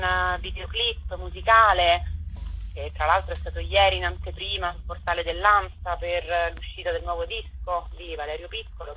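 A woman's voice: on the low side, slightly rough, narrow, tinny audio. A woman giving a radio interview as a theatre writer and director, repeating words as she searches for phrasing, over a telephone.

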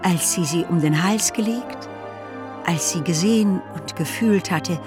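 A woman narrating a literary radio play as an expressive storyteller. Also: lowish voice, measured pace, music in the background.

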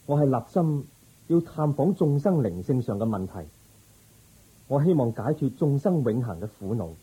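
A man playing a calm, resolute young prince seeking enlightenment in a Cantonese radio drama, speaking in an earnest, measured way. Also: medium pitch, breathy edge, low-quality recording. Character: resolute young prince turned spiritual seeker, calm, compassionate.

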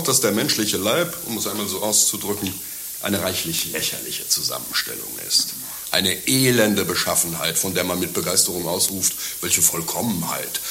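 Very deep-voiced, sardonic pessimist. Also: male voice, bright, forceful tone, a little hiss or hum, heavily acted. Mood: bitter, scornful. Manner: sardonic, pompous lecturing. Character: morose, cynical pessimist man, mocking and disgusted by humanity. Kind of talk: German radio play acting.

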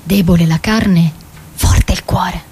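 An actress playing a solemn chorus figure from Greek myth, declaiming like an incantation in a radio drama. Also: female voice, on the low side, fairly even intonation, takes it slowly, darkish tone, husky, full character voice. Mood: incantatory. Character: solemn Greek chorus woman announcing and judging a queen.

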